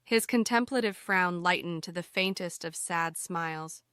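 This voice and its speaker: Casting: female fantasy audiobook narrator, bright, extremely clear voice, scripted storytelling. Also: lowish voice, normal speed, no room sound, clean studio sound.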